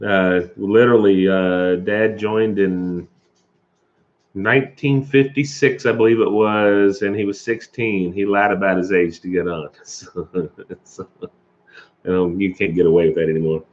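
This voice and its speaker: A man's voice: deep voice, steady tone, a little slow, dull audio. A man hosting a live stream, chatting loosely with viewers, chuckling often and coughing from allergies. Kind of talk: casual chat with live viewers.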